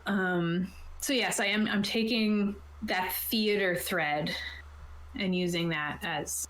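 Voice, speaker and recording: A woman's voice: mid-range voice, fairly even intonation, takes it slowly, fairly bright tone. A woman game master casually explaining a tabletop game setup, chatty and informal with jokey asides. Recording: roomy, echoey sound.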